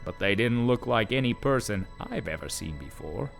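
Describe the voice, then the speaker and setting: A man's voice: on the low side, expressive, moderate pace, vocal fry, soft background music. A man playing an observant, easily startled donkey who tells his story in a wry, bemused way for a children's audio drama. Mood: amazed, startled.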